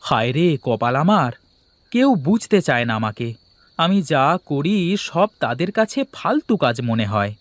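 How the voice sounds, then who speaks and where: Male actor as optimistic schemer for a village cartoon; bossy, exclamatory, pitch swinging freely. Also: big pitch swings, moderate pace, dry recording, natural voice. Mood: self-pitying. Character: scheming, optimistic village husband and gang boss in a comedy. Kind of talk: Bengali comedy cartoon dubbing.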